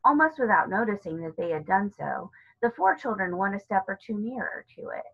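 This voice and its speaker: A woman's voice: mid-range voice, very animated, a little fast, creaky, husky, narrow, tinny audio. A woman narrating a children's novel as a storybook reader.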